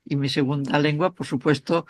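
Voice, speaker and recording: A woman whose first language is Galician, co-hosting a podcast and chiming in eagerly in quick bursts. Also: deep voice, fairly even intonation, no room sound. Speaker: co-host. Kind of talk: casual podcast chat.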